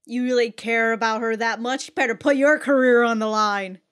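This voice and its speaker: A woman with a somewhat high voice hosts a casual reaction video. She comments in loose slang, blunt and joking, and swears freely as she goes. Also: fairly even intonation, moderate pace, fairly bright tone, smooth.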